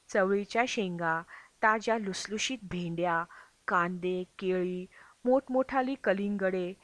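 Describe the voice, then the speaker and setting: A woman's voice: mid-range voice, dry recording. A woman reading a travel memoir as scripted audiobook narration. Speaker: audiobook reader.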